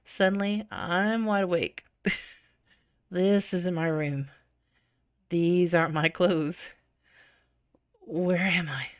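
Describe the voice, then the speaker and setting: A woman's voice: fairly low pitch, steady tone, slow pace, pauses often, no echo, clean studio sound. A woman reading a visual novel aloud with dramatic expression and casual, giggly reactions.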